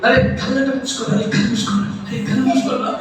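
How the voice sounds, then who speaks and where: A man hosting a live children's puppet show, bantering in an animated, questioning way and repeating himself. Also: very high-pitched, rapid-fire, soft background music. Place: indoor church children's event. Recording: very echoey hall, distant, faint noise.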